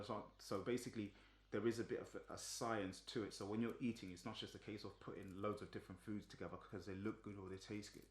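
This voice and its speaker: A man from Zimbabwe with a low voice talks through a topic in a relaxed, chatty way. He often stops on "um" and repeats himself as he goes. The room gives his voice a clear echo. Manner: relaxed, many ums and restarts. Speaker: vlogger. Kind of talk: casual explanatory talk. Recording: echoey room.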